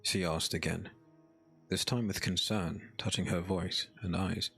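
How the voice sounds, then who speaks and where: A man narrates a dark fantasy audiobook in a deep voice with gravelly fry. His delivery is measured, scripted storytelling, with faint music underneath. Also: fairly even intonation.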